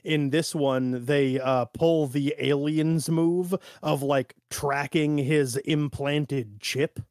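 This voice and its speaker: A man with a fairly high-pitched voice talks casually as a podcast co-host. He works through notes haltingly, sprinkling in "like" as he goes. The sound is dry, with no room echo. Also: steady tone, a little mellow. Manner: halting, peppered with "like".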